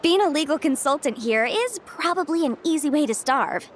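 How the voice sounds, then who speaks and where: A woman playing a cheerful young legal consultant in a video game, bubbly, chatty and expressive. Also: sits high, moderate pace, slight background noise. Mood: chatty, upbeat. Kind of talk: animated video game voice acting.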